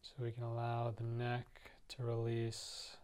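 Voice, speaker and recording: A man leading a guided meditation, speaking gently and softly in unhurried, spaced-out phrases. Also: mid-range voice, completely flat delivery, clear, resonant tone. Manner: soft, gentle, unhurried instructing with pauses. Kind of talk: guided body-scan meditation.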